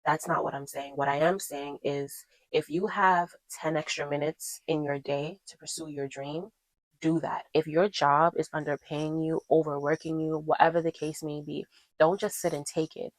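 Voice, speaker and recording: A woman's voice: low-pitched, steady tone, normal speed, no echo, rough recording. A woman in her twenties talking casually to her viewers as a vlogger, encouraging and emphatic, repeating her points for stress. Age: 20s. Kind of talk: casual chat to camera.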